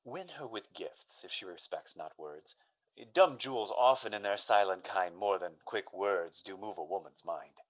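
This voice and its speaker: A man playing an earnest, courteous young gentleman lover in a Shakespearean radio drama, speaking florid verse. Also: deep voice, expressive, moderate pace, low-quality recording. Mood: confident, advising. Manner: hesitant openings, then florid Shakespearean verse.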